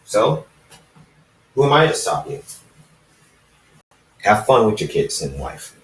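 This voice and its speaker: A man's voice: on the low side, very animated, slow pace. A man voicing a brooding, secretive half-god assassin in an amateur fan fiction reading. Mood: resigned, wry. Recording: roomy echo, distant microphone.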